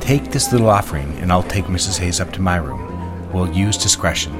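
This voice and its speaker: A man's voice: low voice, expressive, fairly quick. A man playing a domineering, seductive wealthy lover in an audio drama, commanding, suave and controlled. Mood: smooth, assertive. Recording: music behind the voice.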